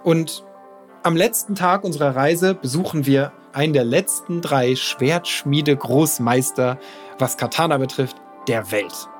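A man's voice: a little high, measured pace, faint music behind. Candid German man telling his story. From Lower Saxony, Germany. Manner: candid, self-deprecating storytelling. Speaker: podcast narrator. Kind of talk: personal storytelling.

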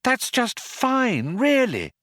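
Man playing a bright storybook woman, lilting, compassionate, firm, pitched high, swooping intonation. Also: wildly swooping pitch, slow pace, dry recording, clean studio sound, exaggerated voice. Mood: soothing lilt.

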